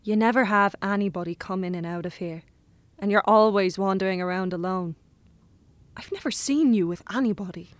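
A woman's voice: mid-range voice, fairly quick, no room sound, natural voice. A woman playing a lonely, manipulative teenage boy, insistent and pushy, in naturalistic audio drama. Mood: pleading.

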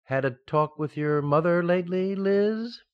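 A man's voice: high-pitched, unhurried, clean tone. A man playing a sensible, exasperated banker husband in an old-time radio sitcom, mock-stern and explaining things patiently, in slightly muffled sound.

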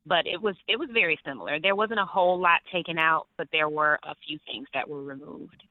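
A young adult woman from Atlanta, an actress telling lively stories in an interview and laughing often. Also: deep voice, fairly quick, no room sound. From Atlanta, Georgia. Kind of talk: interview on the phone. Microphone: phone. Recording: tinny phone line, dry.

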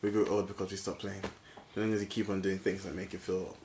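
A man in his late twenties chatting casually on a podcast, animated and quick to laugh. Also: low-pitched. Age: 20s. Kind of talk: casual chat. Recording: echoey room with faint noise.

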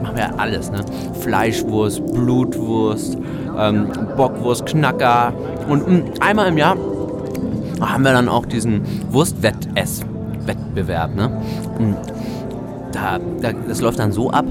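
An actor playing an earnest, awkward small-town factory worker who rambles in a halting, matter-of-fact way. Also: male voice, medium pitch, lightly acted. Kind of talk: acted German drama monologue.

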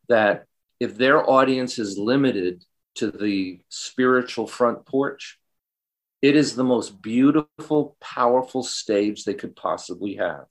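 A man speaking as an interview guest, giving earnest, deliberate and emphatic answers in a reflective way. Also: medium pitch.

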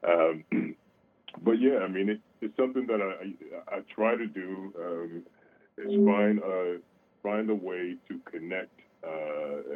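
A man, an opera singer, answering questions in a radio interview, halting with many fillers and restarts. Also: low-pitched. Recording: phone-line sound.

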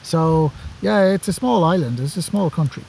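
An Irish man talks eagerly and casually, his voice mellow and soft-edged. He often repeats words as he gathers his thoughts. A faint outdoor ambience sits behind him. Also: a little high, lively intonation, normal speed, creaky, slight background noise, low-quality recording. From Ireland. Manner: enthusiastic, repeats words while thinking. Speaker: guest, forestry worker. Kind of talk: casual podcast conversation. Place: outdoors among camping gear.

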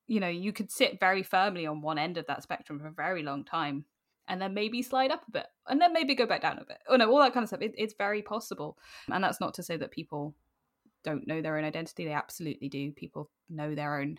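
A British woman talking casually as an interview guest, animated and self-deprecating, often acting out bits of imagined dialogue. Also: fairly low pitch. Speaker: podcast guest.

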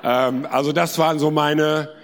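A man's voice: fairly high pitch, fairly even intonation, moderate pace. Male guest preacher in an echoey hall. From India. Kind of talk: sermon with personal anecdotes. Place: large church hall. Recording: echoey hall with long reverb.

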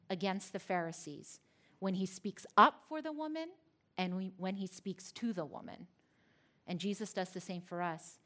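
A woman's voice: medium pitch, a bit bright. A woman from Philadelphia giving a personal talk to a live audience, telling her story with playful asides to the crowd. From Philadelphia, United States.

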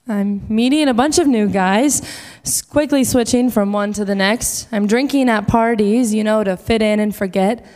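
A college woman of twenty played by an actress delivers a confessional monologue in a fairly high voice. She puts on confidence over inner emptiness, heard in a hall with long reverb. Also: a little mellow, lightly acted. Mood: empty, confessing. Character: outwardly confident, inwardly empty twenty-year-old college woman. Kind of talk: dramatic monologue.

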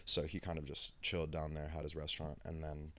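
A man, telling stories in a laid-back, halting way as an interview guest. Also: very deep voice, completely flat delivery, pushed and bright. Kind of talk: casual interview chat.

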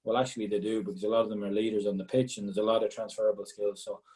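A man's voice: fairly low pitch, little pitch movement, rapid-fire, darkish tone, poor audio. A man with a Galway Irish accent, a former footballer answering interview questions in a chatty way, often repeating words as he restarts. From Ireland, Galway. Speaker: former footballer, regional sales manager.

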